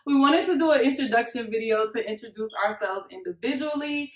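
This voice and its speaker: A woman's voice: a little high, fairly quick. Young American woman in an echoey room. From Miami, Florida, United States. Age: 20s. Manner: informal, storytelling, slangy. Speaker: YouTube couple vlogger. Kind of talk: casual chat to camera.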